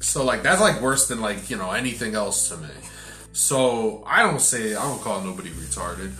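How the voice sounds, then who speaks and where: A man's voice: lowish voice, lively intonation, normal speed, pushed and bright, reverberant, poor audio. A young man chatting casually as a podcast co-host, rambling with lots of fillers and swearing; his first language was Sicilian. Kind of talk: casual chat.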